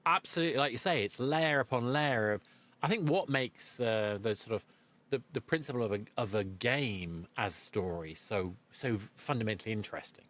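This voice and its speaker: A man's voice: medium pitch, expressive, normal speed, fairly bright tone. A man hosting a podcast interview, feeling his way through sentences and rephrasing as he thinks aloud. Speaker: podcast host. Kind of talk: interview conversation.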